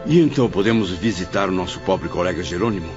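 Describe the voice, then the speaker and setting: An actor playing an earnest, compassionate man visiting a friend in an audio drama, with formal, gentle phrasing. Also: low-pitched, animated, fast. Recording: muffled audio with music behind.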